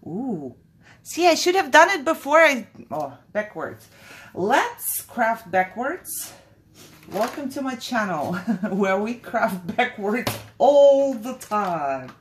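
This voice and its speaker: A woman's voice: on the high side, very animated, takes it slowly, breathy edge. A woman from Kazakhstan whose first language is Russian hosts a casual crafting vlog in English. She talks in a chatty, jittery way and laughs often. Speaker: crafting channel host.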